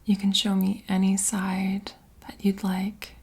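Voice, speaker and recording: Slow, breathy whispering woman. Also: medium pitch, completely flat delivery, a little mellow. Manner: soothing, reassuring, unhurried. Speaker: ASMR host. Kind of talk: whispered ASMR roleplay, gentle guided comfort.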